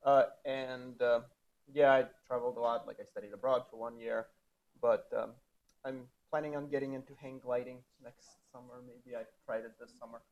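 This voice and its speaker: A man introducing himself quickly, his words trailing off. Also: medium pitch, steady tone, a little mellow, dull audio. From Moscow, Russia. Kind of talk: group self-introduction. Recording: noisy room full of people.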